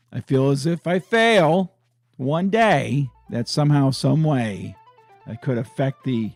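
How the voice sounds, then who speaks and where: A hoarse man hosts a casual sports fan show, his raspy, gravelly voice moving at an unhurried pace. He talks in a chatty, excitable way. The sound is dry and close, with faint music underneath. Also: medium pitch, dry recording. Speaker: sports fan channel host. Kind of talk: casual fan vlog chat.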